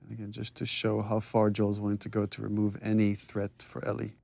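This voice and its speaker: Gravelly, flat-voiced male commentator. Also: fairly low pitch, no room sound. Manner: thoughtful, halting restarts. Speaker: game director giving commentary. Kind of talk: reflective commentary over cutscenes.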